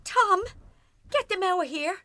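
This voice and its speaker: An actress playing an anxious older Irish wife, a constant worrier, in a radio play. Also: female voice, very high voice, lively intonation, measured pace, sharp tone, dry recording, exaggerated voice. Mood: frightened, pleading.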